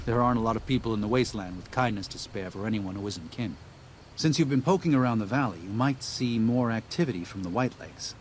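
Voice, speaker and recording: A man playing an earnest, gentle missionary in a video game, talking in a mild, sincere and slightly hesitant way. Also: fairly low pitch, quick pace, a little hiss or hum, lightly acted. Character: earnest, gentle missionary leader guiding wasteland tribes.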